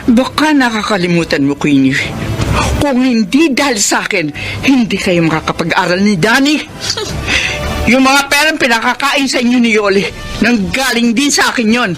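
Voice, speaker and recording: Isang babaeng aktres na Pilipina na gumaganap bilang ina sa drama sa radyo, taimtim, nag-aalala, nakikiusap at nanenermon. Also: female voice, a little high, animated, normal speed, music in the background, lightly acted. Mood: hurt, angry. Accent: Filipino. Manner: earnest, pleading, scolding. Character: worried, pleading, strict mother back from working abroad. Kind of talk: Filipino radio drama acting.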